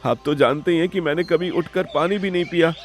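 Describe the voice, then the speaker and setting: A man voicing a distressed, pampered young brother in an animated moral story, plaintive and timid. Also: a little high, a little fast, soft background music, close to an everyday voice. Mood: pleading.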